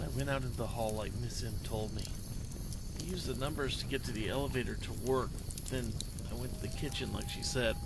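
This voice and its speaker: A man's voice: mid-range voice, noisy background, close to an everyday voice. A man voicing a scared, lonely, hungry little boy in a dramatic story reading, with an earnest, hesitant, childlike manner. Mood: hungry, subdued.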